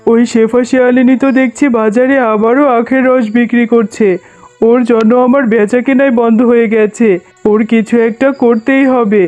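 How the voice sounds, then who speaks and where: A man's voice: extremely high pitch, a little mellow. A man voicing a jealous, scheming male tiger shopkeeper in a cartoon, indignant and full of complaints. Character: grumbling jealous male tiger sugarcane seller, scheming husband. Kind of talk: exaggerated cartoon voice acting. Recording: dull lo-fi sound, music behind.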